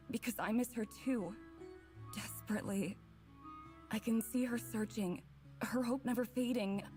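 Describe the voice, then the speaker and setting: A woman's voice: medium pitch, warm tone, close to an everyday voice. An actress playing an anguished young woman who narrates a fairy vision in a dramatic visual novel. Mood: longing, grieving.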